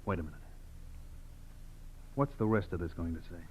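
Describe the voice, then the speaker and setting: A man's voice: sits low, lively intonation, moderate pace, a little mellow. A man playing a nervous, henpecked gangster with an American accent, agitated and protesting in old radio drama style. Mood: alarmed, protesting. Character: nervous, henpecked gangster husband, quick to fret. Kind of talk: 1940s-50s American radio drama acting. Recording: old noisy radio recording.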